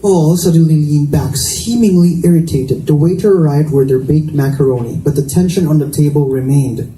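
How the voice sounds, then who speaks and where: A man reads a romance story aloud as narrator. His tone is very dark and soft-edged, pitched a little high, and it carries from a distance through an echoey room. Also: moderate pace. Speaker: narrator, book reader. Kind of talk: live reading of romance fiction. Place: indoor school event room. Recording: echoey room, distant mic, faint noise.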